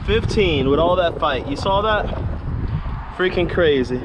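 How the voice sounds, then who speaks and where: A man giving excited, slangy running commentary in casual chat while fishing. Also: a little high, measured pace. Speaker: fishing vlogger. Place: outdoors on a bridge over the sea. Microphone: head or chest-mounted action camera. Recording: loud wind and water noise.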